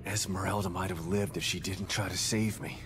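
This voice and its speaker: An actor playing a grim, determined middle-aged detective in a video game, terse and hard-boiled, haunted by loss. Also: male voice, low-pitched, fairly even intonation, brisk. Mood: shaken. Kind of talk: dramatic video game acting. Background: music.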